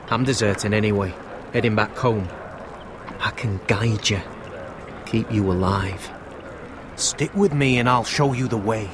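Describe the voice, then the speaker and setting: An actor playing a friendly, uneasy young wildling man in a video game, speaking in a halting, confiding way. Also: lowish voice, lightly acted. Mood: earnest, guarded. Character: friendly young wildling man hiding among sworn watchmen, earnest, uneasy. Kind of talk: dramatic video game voice acting. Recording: clearly noisy outdoor ambience.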